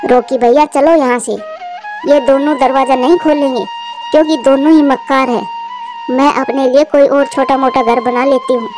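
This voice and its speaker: A woman's voice: high-pitched, fairly even intonation, normal speed, music in the background, natural voice, poor audio. A woman voicing an earnest, caring mother sparrow in a children's moral-story cartoon. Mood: resigned, hurt.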